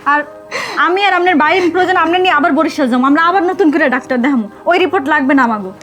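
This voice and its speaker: High female voice, quick, Barisal accent, acted worried devoted young in-law, coaxing drama delivery. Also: fairly bright tone, faint music behind. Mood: distressed reassurance. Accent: Barisal, southern Bangladesh. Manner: urgent, coaxing. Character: anxious, devoted younger sister-in-law, caring and reassuring. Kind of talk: emotional drama acting.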